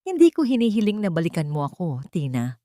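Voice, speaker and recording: A Filipino woman narrator plays an injured husband in an audio story, humble and full of remorse. The pleading is delivered in a mellow, fairly low voice with a dry, close sound. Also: wildly swooping pitch, a little fast, no echo. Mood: pleading, remorseful.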